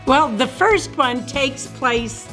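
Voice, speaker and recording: Slow-spoken American woman historian. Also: high-pitched, expressive, a bit bright, soft background music. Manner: measured, unhurried storyteller. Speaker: museum director and local historian. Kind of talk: storytelling in an interview.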